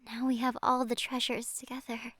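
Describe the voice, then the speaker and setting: Casting: actress as gentle long-lost sweetheart for audio fiction. Soothing, breathy, half-whispered, very even delivery. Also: female voice, a little high, normal speed, no echo. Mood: soothing, solemn. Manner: soft, breathy, often near whisper. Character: long-lost beloved woman, tender, soothing, otherworldly. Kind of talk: dramatic audio roleplay acting.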